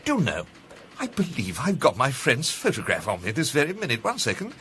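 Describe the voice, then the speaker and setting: An actor with an English accent playing an urbane, self-assured gentleman detective, polished and theatrical in a radio drama. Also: mid-range voice, huge pitch swings, a little fast. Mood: affable. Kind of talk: British radio drama acting.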